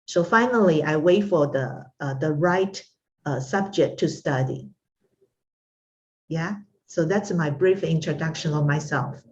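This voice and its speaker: A middle-aged woman from Taiwan, a native Mandarin speaker, talks slowly and haltingly, with lots of fillers. Her voice sits a little low and comes through a muffled, lo-fi video call line. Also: a little mellow. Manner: hesitant, many pauses and fillers. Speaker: interview guest, art instructor. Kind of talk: casual interview conversation.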